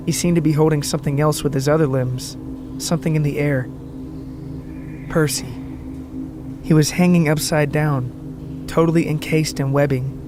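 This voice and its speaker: A man narrates a scripted story for a podcast. His voice sits a bit high, and he tells it with drama and a wry wink. Music plays clearly behind him. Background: background music.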